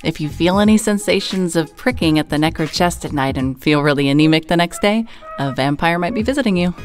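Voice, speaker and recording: A woman playing a calm, observant young vampire researcher in an audio drama, with a matter-of-fact, casual delivery. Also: low voice, brisk, soft background music. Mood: informative. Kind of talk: audio drama acting, explanatory.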